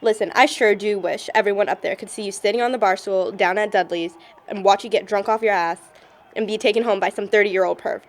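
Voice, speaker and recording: A woman's voice: mid-range voice, fairly even intonation, fairly quick, lightly acted. An actress playing a hot-tempered, hurt young woman in an amateur audio drama, with a biting, emotional delivery. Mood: biting defiant.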